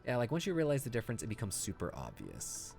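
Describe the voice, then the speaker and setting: A man's voice: fairly low pitch, wildly swooping pitch, quick pace, gravelly, a little mellow. A man casually streaming a game, easygoing and chuckling often, chatting and reading viewer comments aloud. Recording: music playing behind the voice.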